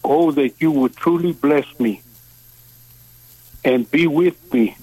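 A man calls in to a radio show. He speaks slowly and earnestly, stopping and restarting as he goes. The sound is dry, with no room around it. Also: a little high, warm tone, husky, dry recording, low-quality recording. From Texas. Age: 70s. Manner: slow, halting, earnest. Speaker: caller. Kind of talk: phone-in prayer request.

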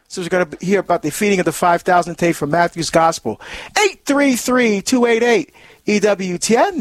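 Brief: male deacon host, from Barbados, high voice, lively and exclamatory, dry studio sound. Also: no echo. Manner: upbeat, animated, fond of exclamations. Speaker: radio show host, deacon.

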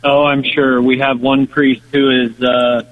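A man's voice: medium pitch, little pitch movement, unhurried, no echo. Hesitant man calling in by phone. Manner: plain, hesitant, many uhs. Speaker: caller. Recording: tinny phone line.

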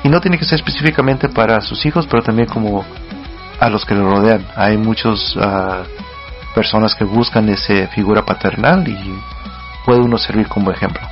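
A man's voice: on the low side, steady tone, moderate pace, highs cut off, faint music behind. A male radio host chatting casually, rambling with many fillers and laughing often.

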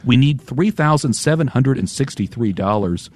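A man co-hosting a radio fundraiser, chatty and quick to laugh while he reads out pledge details. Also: mid-range voice, expressive, darkish tone, dry recording. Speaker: radio host. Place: radio studio.

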